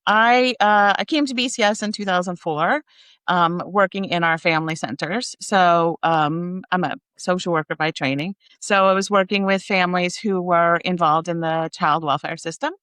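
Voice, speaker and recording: Low, smooth middle-aged American woman. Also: fairly even intonation, moderate pace, a bit bright, no echo. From raised in Kansas, United States. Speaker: interview guest, nonprofit executive. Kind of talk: conversational interview answers.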